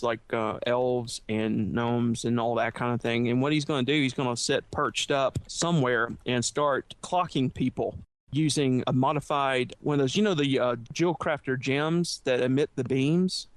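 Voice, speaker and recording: A man telling anecdotes in casual chat as a podcast panelist. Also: medium pitch.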